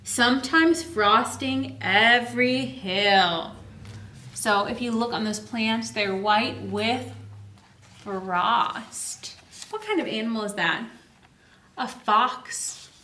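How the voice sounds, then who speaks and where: A woman's voice: a little high, lively intonation, slow pace, pushed and bright, a little hiss or hum. A woman leading a children's story time, reading a picture book aloud and explaining it simply, asking questions and answering them herself. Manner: simple teacherly phrasing, asks and answers own questions. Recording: echoey room, distant microphone.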